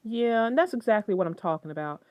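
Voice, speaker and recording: Female children's narrator and host: expressive, mid-pitched, animated delivery, lo-fi sound. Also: quick pace, dry recording. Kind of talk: expressive read-aloud storytelling and friendly discussion. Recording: dull lo-fi sound, soft guitar music.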